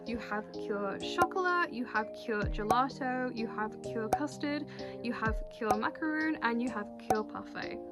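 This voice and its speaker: Bubbly high-voiced young woman vlogging. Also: a little slow. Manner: bubbly, expressive, slangy. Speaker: cosplay video creator and host. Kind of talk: chatty vlog introduction to camera. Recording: music playing behind the voice. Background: music.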